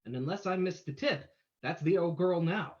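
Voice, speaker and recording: A man's voice: fairly high pitch, normal speed, a little mellow, close to an everyday voice. A man playing a breezy, wisecracking amateur sleuth in an old-time radio reading, with dull lo-fi audio. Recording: dull lo-fi live stream audio.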